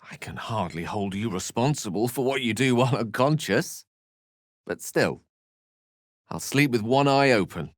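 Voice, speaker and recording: A man acting an eloquent, theatrical wizard, richly expressive. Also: medium pitch, moderate pace, dry recording, studio quality, close to an everyday voice. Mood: grave, measured. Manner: eloquent, wry, theatrical phrasing. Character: erudite, witty, theatrical male wizard with courteous irony. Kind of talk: fantasy video game voice acting.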